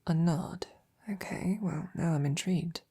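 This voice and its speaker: A woman playing a devoted, courteous female knight in an intimate romantic audio roleplay, speaking softly and breathily with gentle, halting, formal phrasing. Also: on the low side, fairly even intonation. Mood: curious, hesitant. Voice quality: breathy, soft. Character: devoted female royal knight, tender, courteous, easily flustered.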